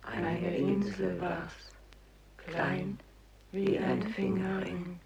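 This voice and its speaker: A woman's voice: fairly low pitch, flat delivery, extremely slow, creaky, a loud crowd, exaggerated voice. An actress playing an old woman who mournfully remembers her island, reciting solemnly in a poetic radio play. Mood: mournful, remembering. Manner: solemn, incantatory. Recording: music behind the voice.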